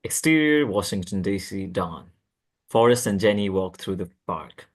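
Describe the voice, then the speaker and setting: A man reading scripted stage directions at a table read, halting and stumbling over words now and then. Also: deep voice, expressive.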